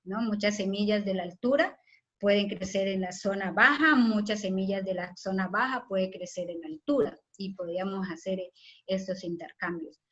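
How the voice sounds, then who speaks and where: A woman's voice: mid-range voice, moderate pace, fairly bright tone, slightly rough. A woman from the Amazon, explaining her views spontaneously as a webinar panelist. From Colombian Amazon. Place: online video webinar. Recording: dull lo-fi online call.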